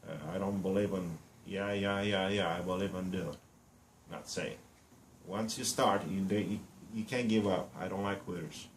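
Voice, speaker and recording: A man in his fifties from Romania with a Romanian accent, introducing himself bluntly and boastfully in broken English with many pauses and fillers. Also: sits low, fairly even intonation, reverberant, slight background noise. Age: 50s. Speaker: reality show applicant. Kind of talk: casual self-introduction to camera.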